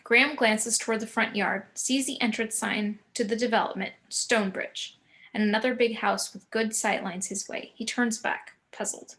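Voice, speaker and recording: Measured woman narrating a screenplay. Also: a little high, fairly even intonation, a bit bright. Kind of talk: reading screenplay action descriptions.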